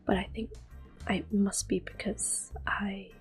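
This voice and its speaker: A woman speaking softly and whispering in a gentle, unhurried, rambling way as she gives casual ASMR commentary while playing a video game. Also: medium pitch, flat delivery. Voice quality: soft-spoken, whispery. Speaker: gaming video host. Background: game music and controller sounds.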